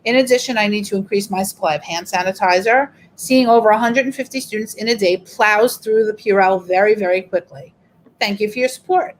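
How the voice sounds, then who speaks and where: A woman's voice: medium pitch, a little hiss or hum, poor audio. A middle-aged woman teacher, walking a group through an online workshop in a chatty, explanatory way. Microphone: video call.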